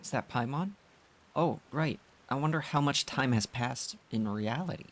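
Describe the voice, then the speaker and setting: Very low-voiced woman streaming a game. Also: moderate pace. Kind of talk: casual reading aloud and reacting to a game. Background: game music.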